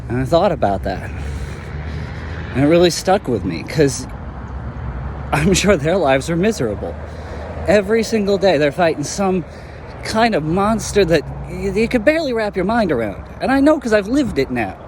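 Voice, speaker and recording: A young man voicing an earnest former hero in an audio drama, talking in a reflective, halting way. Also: sits high, sing-song, noisy background.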